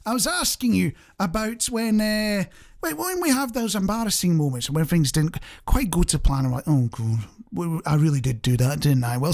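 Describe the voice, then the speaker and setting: A man's voice: high voice, gravelly. A man with a British accent presenting a radio show in a casual, rambling, conversational and self-deprecating way.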